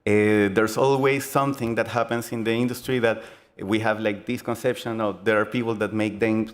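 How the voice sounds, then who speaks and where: A young man with a Chilean accent, giving a conference talk on game design in a halting, earnest way, full of fillers and restarts. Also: fairly low pitch, fairly even intonation. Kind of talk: conference presentation.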